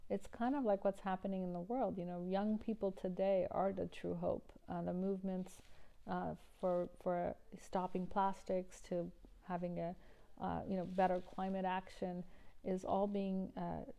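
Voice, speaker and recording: A woman's voice: lowish voice, flat delivery, moderate pace, creaky, mellow. A middle-aged woman from Iran, an environmental activist, answering interview questions earnestly with many restarts and fillers.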